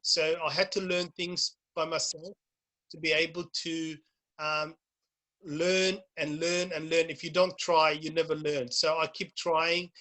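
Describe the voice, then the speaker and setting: Bright-voiced man. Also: on the high side, flat delivery, measured pace, low-quality recording. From Somalia. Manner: plain-spoken, repeats himself. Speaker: guest speaker, youth worker. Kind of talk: informal presentation. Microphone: video call.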